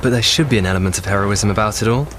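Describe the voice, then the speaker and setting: A man's voice: on the low side, rapid-fire, a bit bright, lightly acted. Man playing an earnest young English soldier, noisy surroundings. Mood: earnest, doubtful. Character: earnest, idealistic, educated young British soldier. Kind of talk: audio drama acting.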